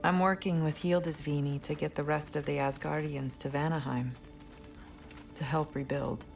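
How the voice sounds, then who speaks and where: A woman's voice: low voice, fairly even intonation, normal speed, vocal fry, soft background music. An actress playing a dignified noble queen in a game, stern but caring, with dry wit. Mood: firm. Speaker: leader of refugee people.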